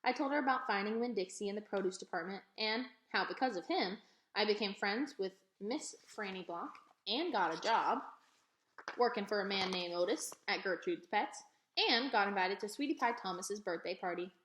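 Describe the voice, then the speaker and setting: A woman reading a children's novel aloud in an expressive storytelling style. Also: medium pitch, normal speed. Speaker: read-aloud reader. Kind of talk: expressive children's book narration. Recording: echoey, roomy sound.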